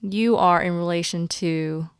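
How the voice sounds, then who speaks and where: Woman from Osaka, Japanese first language, smooth clear voice, unhurried, giggly co-host. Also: on the low side, dry recording, clean studio sound. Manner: giggly, relaxed, slow and unhurried. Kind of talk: casual conversational lesson.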